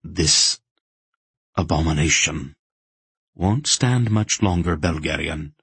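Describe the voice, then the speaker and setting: A man's voice: a bass voice, expressive, takes it slowly, gravelly, husky, dry recording, full character voice. A man voicing a firm, authoritative disembodied inner voice in a fantasy audiobook. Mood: assuring. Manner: sharp, authoritative. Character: commanding disembodied inner voice, calm, firm, ancient.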